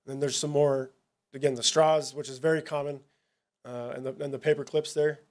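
A man, a police narcotics officer, giving a casual, halting presentation at a town hall, with many fillers and restarts. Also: medium pitch, little pitch movement, a little mellow.

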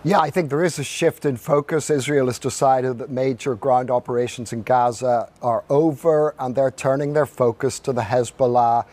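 A middle-aged man giving a measured, emphatic live news report as a correspondent. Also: mid-range voice, steady tone, a little mellow. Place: outdoors at night in a city. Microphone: lapel.

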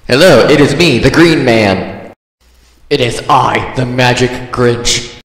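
A man voicing a silly spooky magical ghost creature in a mock-spooky, drawn-out manner for game voice lines. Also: mid-range voice, fairly bright tone, roomy sound, big-room sound, pitched below the performer's own voice, rough recording. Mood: mock grand.

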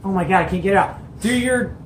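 A middle-aged man telling a casual story to camera as host, in an animated, emphatic, colloquial way. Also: high-pitched, quick pace. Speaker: host of a CB radio show. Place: small indoor hobby radio room. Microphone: camera or phone at arm's length. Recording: roomy echo, background noise.

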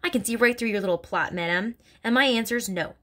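A woman's voice: fairly high pitch, fairly quick, a bit bright, pitched above the performer's own voice, natural voice. A woman barking as a bullying giant headmistress. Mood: bellowing scorn. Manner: barking, snorting, contemptuous. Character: bullying hulking female headmistress, bellowing.

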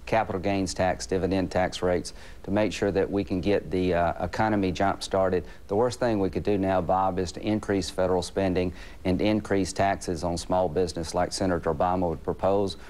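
A middle-aged man with a very low voice speaks from a remote studio. He lays out his points firmly and steadily, with a few fillers. It sounds like a TV interview link. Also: moderate pace. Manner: steady, firm, some uh fillers. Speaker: senator, remote guest. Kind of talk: political talking points.

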